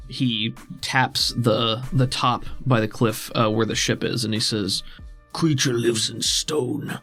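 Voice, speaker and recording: A man's voice: on the low side, little pitch movement, unhurried, sharp tone, soft background music. A man improvising narration as the game master of a tabletop role-playing game.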